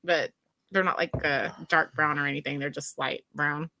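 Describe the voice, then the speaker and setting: Chatty woman, bright dry voice. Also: low-pitched, moderate pace, vocal fry, dry recording. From West Texas. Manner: chatty, animated. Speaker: co-host. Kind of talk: casual live chat.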